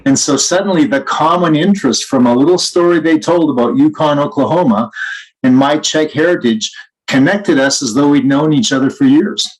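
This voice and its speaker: A man's voice: fairly high pitch, moderate pace, poor audio. A man co-hosting a conversational podcast, talking reflectively and in an anecdotal style. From Canada. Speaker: podcast co-host.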